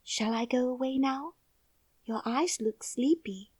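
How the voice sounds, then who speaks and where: A woman's voice: high-pitched, a little slow, darkish tone, breathy edge, no echo, clean studio sound, pitched above the performer's own voice. A woman voicing an earnest, outspoken young girl in a storybook reading.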